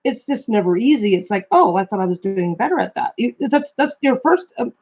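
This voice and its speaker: A woman's voice: medium pitch, animated, a little fast, a little mellow, highs cut off. A woman co-hosting a casual live stream chat, rambling through thoughts and swearing casually in her carrying voice. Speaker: co-host.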